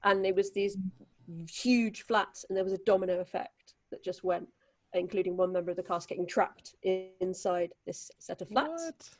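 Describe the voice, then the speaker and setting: A British woman chatting casually and telling theatre-style anecdotes in an animated way. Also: medium pitch, normal speed. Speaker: stage performer.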